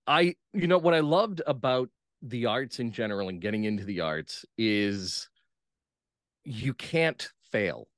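A man speaking as an interview guest in casual chat, enthusiastic and often checking his points with a quick questioning tag. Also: mid-range voice, lively intonation, no room sound, studio quality. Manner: enthusiastic, punctuates points with "right?". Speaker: playwright and actor, podcast guest.

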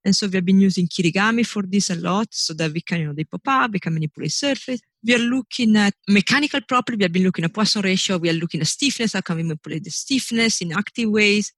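A woman's voice: mid-range voice, quick pace, hardly any pauses, fairly bright tone, no room sound. Italian-accented woman, dry close mic. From Italy. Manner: loose, searching phrasing with frequent "you know" and restarts. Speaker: engineering professor. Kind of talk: relaxed interview answers.

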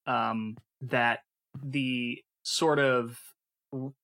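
Co-host: man, bright tone, eager and explanatory. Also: mid-range voice, fairly even intonation, very slow. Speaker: podcast co-host. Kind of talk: casual chat.

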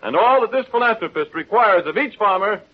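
An actor playing a grandiloquent, emphatic radio news commentator in a 1930s drama. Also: male voice, high-pitched, expressive, brisk. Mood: emphatic, grand. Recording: muffled old 1930s radio recording.

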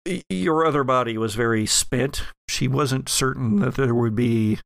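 A man working as game master, narrating a horror tabletop game and thinking aloud in a halting way. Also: medium pitch, gravelly, dry recording, studio quality.

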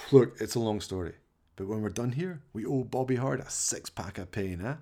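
Man acting a frazzled, gravelly radio play everyman. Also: lowish voice, moderate pace, warm tone. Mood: weary, angry. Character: frazzled, affectionate man, chimp's best friend.

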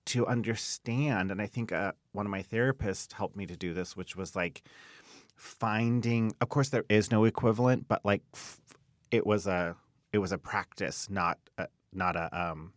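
A man's voice: fairly low pitch, moderate pace, no echo, clean studio sound. A man in his late thirties talking candidly on a podcast, speaking emphatically, with repetition and casual swearing. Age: late 30s. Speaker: podcast host. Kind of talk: candid personal conversation.

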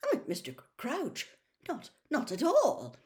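A woman's voice: fairly high pitch, very animated, moderate pace, pitched above the performer's own voice. A woman voicing a hot-tempered, blustering male wizard official who is easily cowed, in an audiobook story. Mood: flustered. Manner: roaring, impatient, accusing.

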